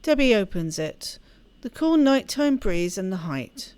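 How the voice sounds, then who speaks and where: A woman reading a story aloud as expressive narration for a game playthrough. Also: mid-range voice, a little slow, clear, resonant tone, dry recording. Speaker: let's play host reading game text aloud.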